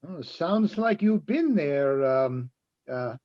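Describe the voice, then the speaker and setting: A man plays a jovial, semi-retired Austrian electrician in an old-time radio play. His voice sits high for a man, with a German accent and a folksy manner. The recording is dull and dry, with little room sound. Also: animated, slow, a little mellow, dry recording. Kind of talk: amateur readers' theatre radio play.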